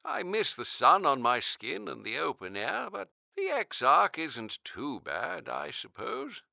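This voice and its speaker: An actor playing a gentle, wistful ninety-three-year-old man with a creaky voice, courteous and halting in an audio drama interview. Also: a little high, wildly swooping pitch, no echo, full character voice. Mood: polite, wistful. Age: older. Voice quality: creaky, aged voice.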